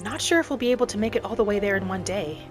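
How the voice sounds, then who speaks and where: A woman's voice: mid-range voice, lively intonation, lightly acted. Reflective female wood elf played by a woman, music behind. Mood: thoughtful, practical. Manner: musing aloud, measured, speaking in short reflective phrases. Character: gentle female wood elf wanderer, reflective and matter-of-fact. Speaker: roleplay gamer narrating in character. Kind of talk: in-character game roleplay monologue. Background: background music.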